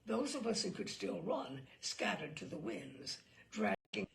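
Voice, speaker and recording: A woman reading a story aloud in a dramatic, theatrical way, with deliberate pauses and emphatic phrasing. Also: medium pitch, sing-song, gravelly. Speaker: reader of a story.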